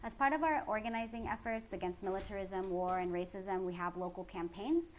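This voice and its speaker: An earnest woman with a Californian American accent explains organizing work in an interview. Her voice sits at a medium pitch and she lays points out in long, articulate lists. Faint ambient noise runs behind her. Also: brisk. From San Francisco Bay Area, United States. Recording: clearly noisy background ambience.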